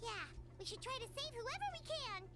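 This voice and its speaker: Tiny squeaky fairy, extremely high, swooping, excitable, kind, game cutscene. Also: female voice, a little fast. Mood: startled concern. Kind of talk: video game cutscene voice acting. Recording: loud music behind the voice.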